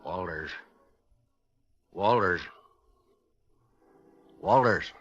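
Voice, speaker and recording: An actor playing a wry, world-weary small-town sheriff in a 1950s radio drama, folksy, dry and admonishing. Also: male voice, sits low, very slow, a bit bright, breathy edge, full character voice. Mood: wry, calm. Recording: dull old 1950s radio recording.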